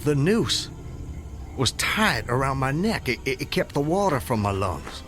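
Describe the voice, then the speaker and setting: An actor playing a condemned man in a radio drama, solemnly and intensely recounting his hanging in formal, deliberate, literary language. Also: mid-range voice, very animated, a bit bright. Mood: grave, wondering. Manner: formal, deliberate, vividly descriptive. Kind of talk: radio drama acting, literary first-person storytelling. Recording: music under the voice. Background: background music.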